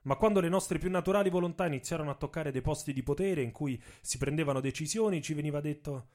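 A man's voice: a little high, quick pace, a little mellow, natural voice. A man playing a proud, indignant young American activist professor in a dramatic radio monologue, telling his story emphatically. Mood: defiant. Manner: emphatic storytelling in the first person. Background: music with instruments.

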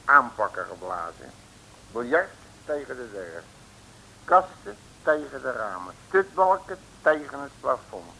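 An actor playing a brash, bossy, street-smart detective in a 1950s Dutch radio serial, full of slangy bluster. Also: male voice, medium pitch, very animated, measured pace, gravelly, sharp tone, heavily acted, low-quality recording. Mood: urgent, commanding. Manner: slangy, blustering, addresses people as "broer". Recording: hissy old radio recording.

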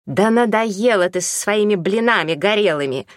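A woman voicing a bossy, gruff older village woman with brusque, scolding rustic speech in a story reading. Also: mid-range voice, lively intonation, normal speed, dry recording, studio quality, voice pushed up, natural voice.

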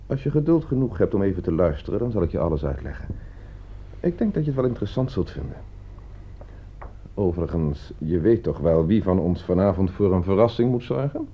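A man's voice: deep voice, very animated, a little fast, slight background noise. An actor playing a cool, calculating man in a radio play, speaking with composed, provocative deliberation. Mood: calm, narrating. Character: cool, calculating man staging a deadly experiment. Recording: dull, muffled old radio play recording.

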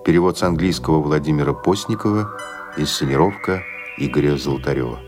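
Very deep male announcer over music. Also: brisk. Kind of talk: scripted title announcement. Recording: music behind the voice.

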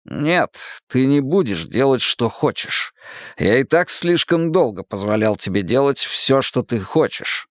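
A man's voice: mid-range voice, sing-song, unhurried, no room sound, clean studio sound. A man voicing a stern, wounded and unyielding father in a dramatised audiobook, speaking firmly and bluntly in exasperation. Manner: firm, blunt, commanding.

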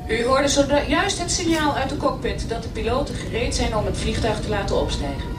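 Actress as stewardess on the cabin speaker, formal, high voice, echoey and far off, engine noise. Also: female voice, lively intonation, a little fast, music in the background, lightly acted. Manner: formal, recited. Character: formal female flight attendant making cabin announcements. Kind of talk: radio play acting, cabin announcement.

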